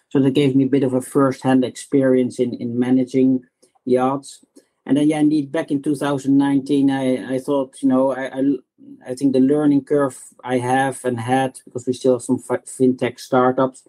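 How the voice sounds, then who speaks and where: A man's voice: medium pitch, mellow, low-quality recording. A middle-aged man from the Netherlands with a Dutch accent, answering conversationally as an interview guest. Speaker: startup executive, interview guest.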